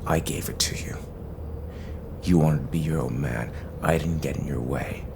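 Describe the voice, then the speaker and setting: A man playing a grim, stubborn, ailing older masked vigilante, terse and gravelly, in an audio drama. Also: moderate pace, noisy background. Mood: bitter. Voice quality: deep gravelly growl. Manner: terse, brooding, defiant.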